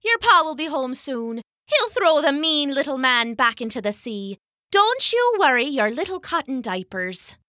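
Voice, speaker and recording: A woman's voice: high-pitched, big pitch swings, unhurried, pushed and bright, dry recording, clean studio sound, pitched above the performer's own voice, full character voice. A woman voicing a clever, scheming giant's wife in a storybook tale, with a sly, coaxing sweetness. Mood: soothing.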